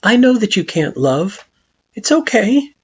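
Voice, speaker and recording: A woman voicing an earnest young hero who speaks in a soft, sincere way. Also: medium pitch, sing-song, unhurried, breathy edge, muffled recording, voice pushed up.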